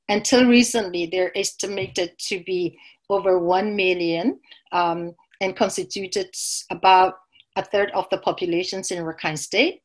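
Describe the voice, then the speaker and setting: A woman from Myanmar, giving an online academic keynote lecture over slides in a measured way, sometimes correcting herself mid-word. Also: lowish voice. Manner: measured, with occasional self-corrections. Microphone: video call.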